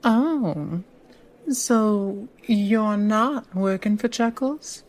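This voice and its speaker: Sly female attorney, played by an actress, audio drama: very slow delivery, teasing, shrewd, theatrical. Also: medium pitch, darkish tone. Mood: cool defiance. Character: sly, silky woman lawyer, old friend, teasing and shrewd.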